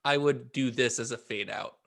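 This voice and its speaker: A man's voice: medium pitch, moderate pace, creaky, a bit bright. A young man co-hosting a casual podcast, hesitant and self-deprecating as he chats. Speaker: podcast co-host. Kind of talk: casual chat.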